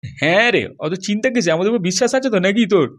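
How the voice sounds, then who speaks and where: A brash young schemer voiced by a man for a comedy cartoon. He talks very fast in a high male voice, bossy and insistent but loyal, with faint music behind. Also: lively intonation. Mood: urgent advising.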